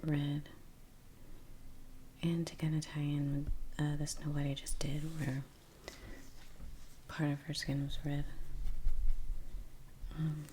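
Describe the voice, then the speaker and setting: A woman talking in hushed, mostly whispered ASMR style while painting, unhurried with long pauses. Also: low-pitched, rough recording. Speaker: ASMR artist and video host. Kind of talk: soft-spoken ASMR chat while painting. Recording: steady heater hum in background. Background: heater hum, soft brush sounds.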